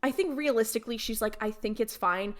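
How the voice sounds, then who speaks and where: A young woman with a fairly high voice hosts a casual, comedic podcast panel. She spins stories in an animated way, slipping into little acted-out asides. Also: fairly quick. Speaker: podcast host.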